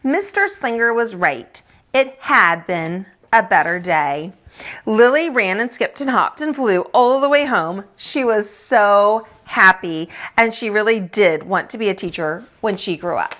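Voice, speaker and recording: A woman reading a picture book aloud as a teacher, animated and expressive, with dramatic emphasis and asides to young listeners. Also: mid-range voice, measured pace. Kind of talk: animated storytime narration for children.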